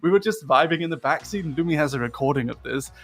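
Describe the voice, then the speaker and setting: A man's voice: on the high side, expressive, fast talker. A man from Denmark whose English has a British accent learned in school. He chats casually as a guest, laughing often and swearing freely. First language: Danish. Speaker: VTuber guest. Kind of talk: casual chat.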